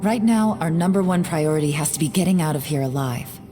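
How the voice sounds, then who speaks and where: Guarded game investigator, very low female voice, measured and serious, resolute, long hall reverb. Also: animated. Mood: resolute, concerned. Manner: measured, serious, deliberate delivery. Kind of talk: dramatic video game voice acting. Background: faint background music.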